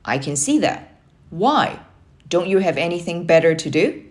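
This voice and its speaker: A woman's voice: lowish voice, sing-song, normal speed. A stern, challenging uncle from a children's storybook, read by a woman. He is curt and commanding, a tough military man who demands full effort. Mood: disappointed, stern. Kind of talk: children's storybook character reading.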